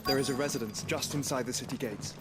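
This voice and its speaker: An actor playing a refined young nobleman in a fantasy game, gentle and dutiful, speaking in formal, measured phrases. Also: mid-range voice, steady tone, background noise, lightly acted. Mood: calm, formal. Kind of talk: dramatic fantasy game acting.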